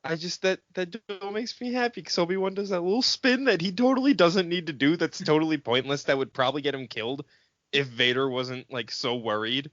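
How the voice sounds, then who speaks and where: A man co-hosting a podcast, chatting casually about films in an excitable, expressive, sweary way. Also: a little high, fairly quick, dry recording, highs cut off. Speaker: podcast co-host. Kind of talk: casual film chat among friends.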